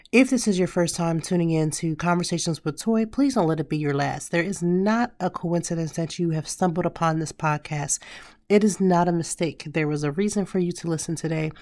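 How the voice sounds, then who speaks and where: A woman in her thirties hosting a casual solo podcast, hoarse and raspy from a cold, chatting and telling stories straight to her listeners. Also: lowish voice, moderate pace, a little mellow, no room sound, clean studio sound. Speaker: podcast host.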